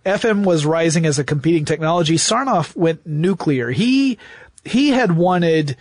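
A man's voice: a little high, animated, moderate pace, dry recording, studio quality. A man co-hosting a show, explaining history conversationally like a storyteller, with emphatic repetition. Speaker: podcast co-host.

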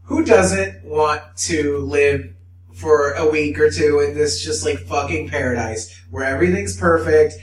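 High-voiced man chatting in an echoey room. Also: unhurried, a little hiss or hum. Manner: blunt, swears freely, rambling. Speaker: podcast co-host. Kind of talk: casual chat. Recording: echoey room, mic at a distance.

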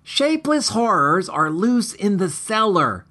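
A man's voice: high voice, very animated, pushed and bright, pitched above the performer's own voice, heavily acted. A man voicing an earnest, bookish youth from a gothic comic story, speaking formally and carefully in pompous phrases. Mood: grave alarm. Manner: formal, slow, careful diction.

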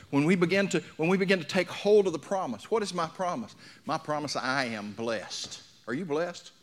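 Male preacher, late sixties, folksy storyteller with a bright, punchy, fairly high voice. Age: 60s. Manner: folksy, anecdotal, addresses listeners with repeated questions. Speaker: pastor preaching. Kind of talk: sermon to a congregation.

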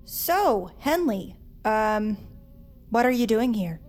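A woman's voice: fairly high pitch, big pitch swings, slow, a little mellow. An actress playing an inquisitive, wary teenage girl in a comic audio drama. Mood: puzzled. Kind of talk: audio drama comedy acting.

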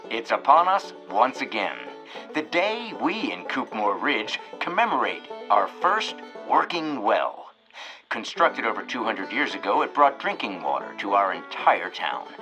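Folksy, animated radio host. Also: male voice, mid-range voice, rough recording. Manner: folksy, presentational. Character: cheerful, folksy small-town radio show host. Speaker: host and narrator. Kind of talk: old-time radio hour announcing. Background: plucked guitar music.

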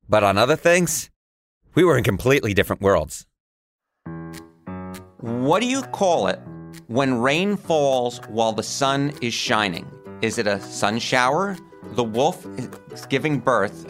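A man's voice: medium pitch, a bit bright. A man with a New York accent hosting a podcast, narrating in a curious, storytelling way and quizzing a guest. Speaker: podcast host.

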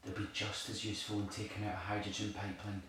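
An actor playing an intense eco-militant, gravely and insistently persuasive in an audio drama. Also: male voice, lowish voice, level tone, fast, natural voice. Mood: intense, urgent. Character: intense, forceful eco-militant man, zealous and conspiratorial. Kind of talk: naturalistic audio drama dialogue. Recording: echoey, distant, noisy room recording.

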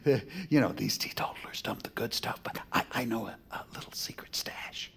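An actor playing a boozy, folksy, fast-talking charmer of a Washington insider in an audio drama, full of rambling, jokey bluster. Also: male voice, mid-range voice, gravelly, a bit bright, slightly rough, in a whisper, heavily acted. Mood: bluffing, jovial.

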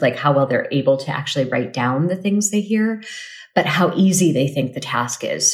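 A woman linguist answering interview questions, explaining thoughtfully and casually with frequent hedges. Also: low-pitched. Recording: roomy, echoey room.

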